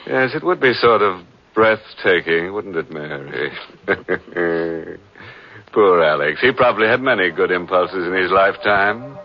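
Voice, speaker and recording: A man's voice: deep voice, animated, measured pace. A man hosting a 1940s radio mystery show with wry, macabre, punning humour. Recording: muffled vintage radio, faint music.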